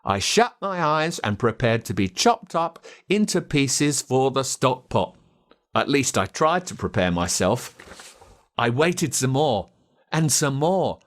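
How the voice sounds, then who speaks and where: An Australian man narrating a children's story in a dramatic, expressive way. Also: medium pitch, takes it slowly. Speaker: audiobook narrator.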